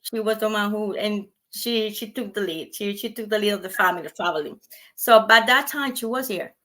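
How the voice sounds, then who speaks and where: A woman's voice: medium pitch, fairly quick, fairly bright tone. A woman from Costa Rica, a native Spanish speaker with a Costa Rican accent, telling her story casually as a podcast guest.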